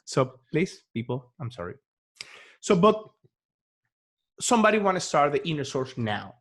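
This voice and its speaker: A man's voice: fairly high pitch, sing-song, normal speed, fairly bright tone. Man hosting a podcast. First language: Spanish. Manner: playful, jokey questioning. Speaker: podcast host. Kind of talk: casual interview.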